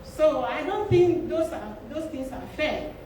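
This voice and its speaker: A woman's voice: high-pitched, measured pace. A woman with a Ghanaian accent, a small business owner making an earnest, pleading public comment at a town forum, repeating words for emphasis, in a church hall. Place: large echoey church hall. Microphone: handheld mic held too far from the mouth. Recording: echoey hall, distant mic, room noise.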